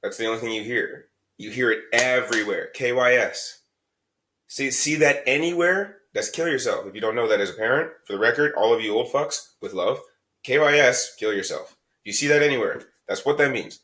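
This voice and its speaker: A man's voice: mid-range voice, brisk, pushed and bright. A man co-hosting a podcast, talking bluntly and heatedly, swearing freely as a parent giving advice. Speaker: podcast co-host. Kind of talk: candid podcast discussion.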